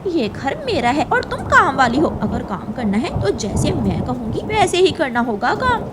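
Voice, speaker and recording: A woman's voice: sits high, sing-song, normal speed. A woman voicing a bossy, callous female crow mistress in a cartoon story, giving curt, haughty orders. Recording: clearly noisy background.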